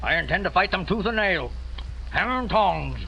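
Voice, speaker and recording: Pompous blustering tycoon, high, gravelly, lively delivery, old radio play, dull and hissy. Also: male voice, normal speed, full character voice. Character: pompous bombastic male gumball tycoon, middle-aged. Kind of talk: 1940s radio acting. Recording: dull old radio broadcast with faint hiss.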